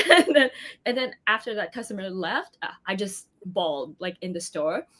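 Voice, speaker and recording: A woman's voice: medium pitch, expressive, normal speed, pushed and bright. A young woman from the Philippines, an actress chatting as an interview guest, talking at length with many fillers and frequent laughter. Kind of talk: casual interview chat.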